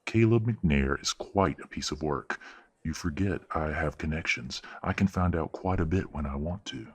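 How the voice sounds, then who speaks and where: A man playing a condescending, manipulative werewolf father in an audio roleplay, speaking in a measured, patronizing and coldly genteel way. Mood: smug, needling. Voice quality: deep, husky. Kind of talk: audio roleplay drama acting.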